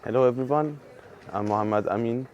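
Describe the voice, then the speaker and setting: A man, a PhD student giving a halting self-introduction full of pauses and fillers. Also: mid-range voice, darkish tone. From Iran. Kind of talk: short self-introduction to camera. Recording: slightly dull lo-fi recording.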